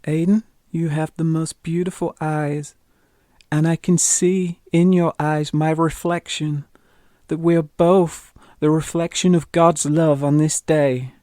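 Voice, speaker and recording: A man's voice: fairly high pitch, flat delivery, slow, warm tone, no echo, voice pushed up. A man voicing a gushing, blissed-out female spiritual seeker in an audio drama, earnest and dreamy. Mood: dreamy, adoring.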